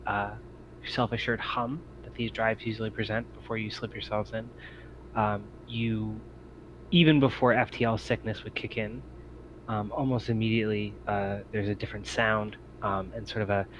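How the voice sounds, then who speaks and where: A man with a fairly low voice acts as game master for a tabletop roleplaying game. He narrates casually and conversationally, in an easygoing way. The audio is a little dull, with faint noise behind him. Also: fairly even intonation, normal speed.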